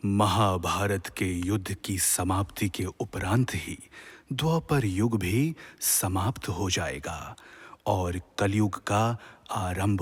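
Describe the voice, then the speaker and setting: An actor playing a serene, wise god in a Hindi mythological audio drama, speaking solemnly in measured, formal classical diction. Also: male voice, on the low side, breathy edge.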